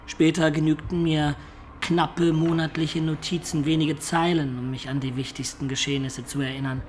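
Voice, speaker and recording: A man's voice: on the high side, little pitch movement, moderate pace, a little hiss or hum, close to an everyday voice. An actor playing a roguish man of peasant birth, narrating his life colloquially and reflectively in a radio play.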